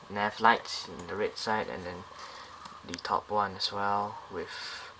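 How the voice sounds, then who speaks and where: A man's voice: on the low side, background noise. A man casually reviewing a scale model airliner up close, talking in a loose, rambling way with many fillers and restarts.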